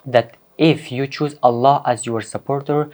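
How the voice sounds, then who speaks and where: A young man from Afghanistan speaks as a student guest in a studio. His answers are earnest but halting, broken by frequent pauses. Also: medium pitch, steady tone, a little mellow. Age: young adult. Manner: halting, many uh fillers, earnest. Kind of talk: hesitant interview answers.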